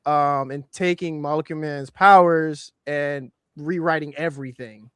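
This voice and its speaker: Smooth-voiced man, rambling and profane. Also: on the high side, steady tone, normal speed, a bit bright, dry recording. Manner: rambling, profane, many fillers. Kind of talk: casual pop culture chat.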